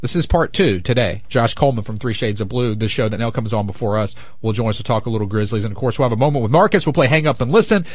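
A man's voice: mid-range voice, fast talker, few pauses, dry recording. A man hosting a sports radio show, chatting casually and joking, with a stuffed-up nose. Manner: animated, jokey. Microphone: studio microphone.

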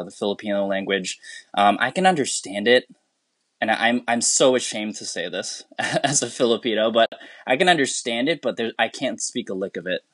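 A man, a teacher from the United States, talking as a guest in a relaxed interview. He is earnest and rambling, restarting his sentences often, and his voice sits fairly low. The sound is dry and close. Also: dry recording. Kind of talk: casual conversational interview.

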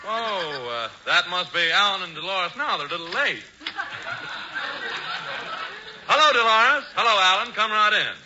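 A man's voice: high-pitched, big pitch swings, a little fast, music in the background. A man playing a prissy, haughty young rich schemer in a 1940s radio comedy. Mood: fussy, disdainful. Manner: prissy, haughty, hesitant uh-filled phrasing. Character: Hubert, snooty, fussy rich young schemer. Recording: muffled, noisy 1940s radio broadcast.

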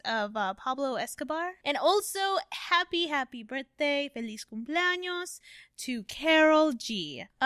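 A woman's voice: a little high, fairly bright tone, smooth, no room sound, clean studio sound. A woman in her late twenties from the United States, co-hosting a casual podcast with a joking, bubbly manner and Spanish phrases mixed in. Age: 20s. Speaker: podcast co-host. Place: indoors at home.

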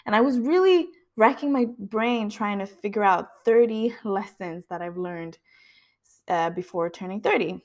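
A woman's voice: mid-range voice, measured pace. A woman of about thirty hosting a podcast, speaking in an earnest, introspective monologue. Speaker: podcast host. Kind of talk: reflective personal monologue.

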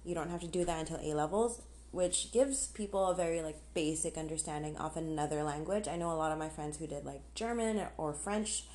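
A woman's voice: low-pitched, a little fast, hardly any pauses, a little mellow. A young woman chatting casually and candidly on a solo podcast, with an informal manner. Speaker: podcast host. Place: outdoors on a patio.

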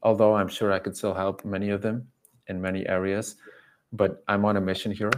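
Man, low voice, English, talkative, emphatic, long explanations, casual interview guest, dog coach. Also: flat delivery, brisk. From Belgium. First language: not English. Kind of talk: casual podcast conversation.

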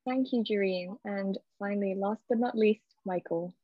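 A woman's voice: medium pitch, moderate pace, no echo, highs cut off. A woman co-hosting a podcast, politely introducing guests and moderating a roundtable. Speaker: podcast co-host.

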